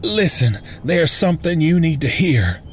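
Strained, high-pitched shaken backwoods man, as a narrator voices him. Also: sing-song, a little slow, slight background noise. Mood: anxious, urgent. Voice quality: dry, strained. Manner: folksy, wavering, urgent. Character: shaken rural mountain man, sleepless and anxious.

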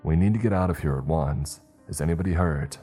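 A man voicing an earnest, naive scout leader who shouts orders and pleads. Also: extremely low pitch, a little fast, breathy edge, soft background music. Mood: urgent. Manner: urgent, pleading.

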